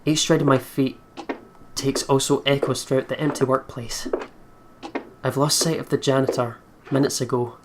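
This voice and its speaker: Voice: medium pitch, fairly even intonation, normal speed, background noise. A game video host reading a horror visual novel aloud dramatically, with casual asides.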